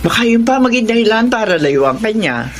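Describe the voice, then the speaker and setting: A woman's voice: on the high side, big pitch swings, moderate pace, a little hiss or hum. An actress playing a firm, reassuring Filipino mother advising her grown son in a radio drama. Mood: cautioning, reassuring. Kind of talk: Tagalog radio drama acting.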